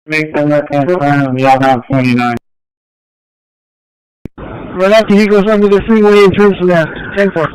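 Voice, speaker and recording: A man's voice: on the high side, steady tone, normal speed, pauses often, a little mellow, smooth, no room sound. A man working as a radio operator, speaking in terse dispatch traffic with ten-codes over a two-way radio. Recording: noisy clicking police scanner radio capture.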